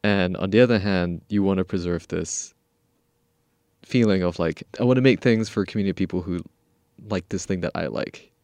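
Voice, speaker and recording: A man's voice: low-pitched, fairly quick, darkish tone, no room sound. A man speaking as a podcast host, in the conversational style of an interviewer. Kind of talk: conversational interview and scripted credits.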